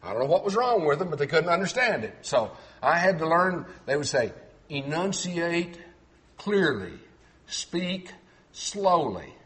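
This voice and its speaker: A man's voice: a little high, very animated, a little slow, fairly bright tone. A middle-aged man from Texas with a faint Texan accent, preaching a sermon and reading scripture aloud in a clipped, carefully enunciated way. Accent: Texan, trained toward clipped neutral speech. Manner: clipped, clearly enunciated delivery, reads verses aloud with emphasis.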